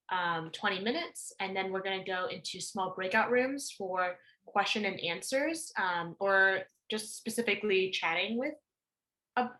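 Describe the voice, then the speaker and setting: A woman's voice: medium pitch, a bit bright, poor audio. Chatty young woman hosting a video call. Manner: chatty, apologetic, a bit scattered. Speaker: lead organizer and event host. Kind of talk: casual event hosting.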